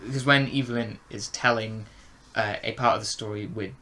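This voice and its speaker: A man's voice: fairly low pitch, fairly even intonation, brisk, sharp tone. A man co-hosting a casual review discussion, hesitantly searching for his words. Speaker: podcast review co-host.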